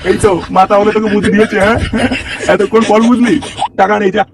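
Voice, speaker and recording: A man's voice: high voice, flat delivery, fast, far from the mic, lightly acted. A man voicing a poor, stingy middle-aged father in a comic cartoon, drawling pious pleas and sarcastic grumbles. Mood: sarcastic, exasperated.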